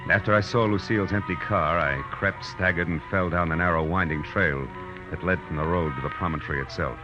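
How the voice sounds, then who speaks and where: An actor playing a tough, wry private eye in a 1940s radio crime drama, speaking in clipped slang on an old recording. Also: male voice, low voice, a little fast, highs cut off, over music, close to an everyday voice. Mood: grim narration. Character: hard-boiled male private eye, wry, tough and insistent. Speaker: detective and first-person narrator.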